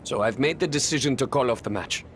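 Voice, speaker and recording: A man's voice: medium pitch, fast talker, a bit bright, a little hiss or hum, natural voice. A man playing a conscientious, worried wrestler and apologetic ally in a scripted video game cutscene. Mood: concerned.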